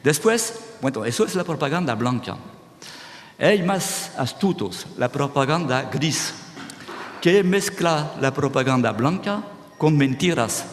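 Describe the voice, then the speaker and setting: A man's voice: a little high, takes it slowly. Guy giving a talk, keeps checking "¿sí?", echoey big room. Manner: animated, restarts words, checks with "¿sí?". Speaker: speaker at a conference. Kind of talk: lecture-style talk to an audience. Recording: reverberant hall, faint noise.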